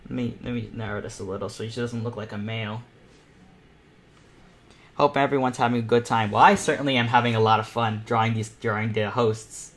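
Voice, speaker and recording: A young man hosting an online art panel, chatting casually and excitably as he draws, thinking aloud. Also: medium pitch, a little fast. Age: teens or young adult. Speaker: host of an online painting panel. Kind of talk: casual chat while drawing live.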